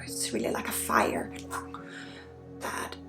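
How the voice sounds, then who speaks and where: A woman with a Swedish accent leading an ASMR energy healing session, soft-spoken with pauses and often dropping into whispers. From Sweden. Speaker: ASMR energy healer and spiritual guide. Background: music.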